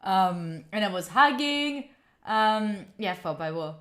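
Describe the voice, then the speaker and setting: A woman's voice: medium pitch, expressive, slow pace, fairly bright tone. A young woman with an Italian accent chatting casually on a live stream, rambling and giggling with lots of fillers. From Italy. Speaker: study streamer.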